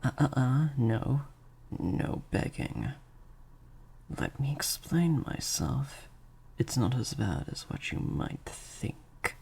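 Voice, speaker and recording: A man playing a sadistic vampire master in an improvised audio roleplay, menacing and teasing, in breathy half-whispers to a captive listener. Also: medium pitch, sing-song, slow pace, dark tone, heavily acted. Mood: firm, condescending.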